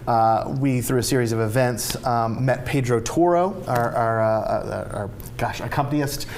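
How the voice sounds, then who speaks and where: Male interview guest, American, average pitch, informal explanatory delivery, some background noise. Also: moderate pace, vocal fry, darkish tone. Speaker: theatre company co-founder, interview guest. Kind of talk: casual explanatory interview chat.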